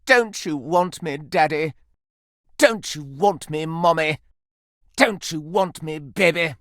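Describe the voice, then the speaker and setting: A man's voice: on the high side, slow, a bit bright, no room sound, clean studio sound. A man voicing a flamboyant, lustful living candelabra in a video game, with florid, pompous, innuendo-laden diction and theatrical melodrama. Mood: desperate longing.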